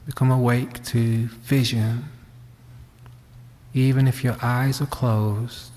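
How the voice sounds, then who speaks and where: A man leading a guided meditation, speaking gently and leaving long pauses. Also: mid-range voice, flat delivery. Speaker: meditation teacher. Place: reverberant room at a wellness centre. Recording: long hall-like reverb.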